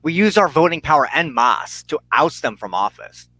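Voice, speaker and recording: A man hosting as a minister, delivering an impassioned scripted monologue in an emphatic, oratorical way with deliberate dramatic pauses. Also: on the high side, sing-song, bright, forceful tone, dry recording.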